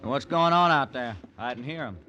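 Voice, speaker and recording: Male actor as a folksy, cocky prisoner, sharp bright mid-range voice, radio western. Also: mid-range voice, normal speed, highs cut off, close to an everyday voice. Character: cocky, cheerful jailed prisoner in the Old West. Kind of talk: old-time radio western acting.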